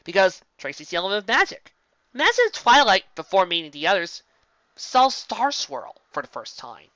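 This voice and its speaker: A woman casually commenting on a fan story she reads aloud. She rambles, restarts and corrects herself, and jumps up in pitch for emphasis. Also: normal speed, no room sound, dull audio. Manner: animated, jumping up in pitch for emphasis. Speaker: fan fiction reader and commentator.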